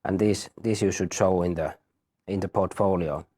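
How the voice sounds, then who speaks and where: Halting man with a low, mellow voice. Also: steady tone. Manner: halting, repeats words often. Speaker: university teacher. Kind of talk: explaining in an interview.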